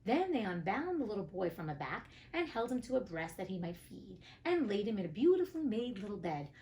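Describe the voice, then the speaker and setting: A woman's voice: medium pitch, sing-song, a little fast, warm tone, slight background noise. Sassy woman reading storybook tales. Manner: sassy, outspoken, colloquial commentary. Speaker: host reading classic tales aloud. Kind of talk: storybook reading with casual asides.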